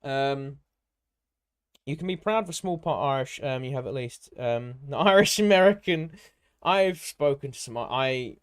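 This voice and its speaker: A man's voice: mid-range voice, animated, measured pace, clear, resonant tone. A man hosting a casual live stream, rambling in an informal, matey way as he hops between viewer comments. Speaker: livestream host and content creator.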